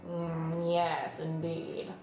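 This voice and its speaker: A woman's voice: sits low, monotone, a little mellow, voice pushed down, heavily acted. A woman voicing a sly, oily male rat who drawls obligingly in a children's storybook read-aloud. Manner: drawling, slow. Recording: roomy sound, music behind.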